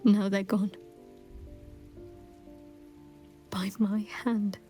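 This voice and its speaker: An actress playing a grieving, willful young woman in a video game, speaking in a measured, hushed, halting and reflective way. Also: medium pitch, little pitch movement, soft background music, lightly acted. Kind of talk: cinematic video game voice acting.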